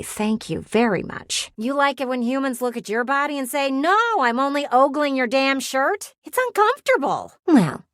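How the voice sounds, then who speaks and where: A woman's voice: fairly high pitch, fairly bright tone, no echo. An actress voicing a talking window in a video game, a flirty yet wistful female people-watcher with a folksy, teasing, theatrical drawl. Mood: indignant, weary, then frank, friendly. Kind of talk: video game character voice acting. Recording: close, dry studio recording.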